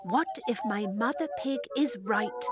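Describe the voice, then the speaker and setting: A woman voicing a wise young male pig, earnest and resolute, speaking in a measured way for a children's story. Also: on the high side, expressive, loud music behind the voice. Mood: pondering, resolute.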